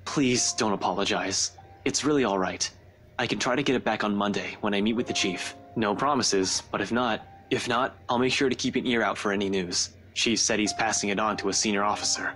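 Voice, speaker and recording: A man's voice: lowish voice, fairly quick, a bit bright, faint music behind, lightly acted. A man playing a weary, earnest young police detective in a visual novel, speaking hesitantly and respectfully. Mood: earnest, reassuring.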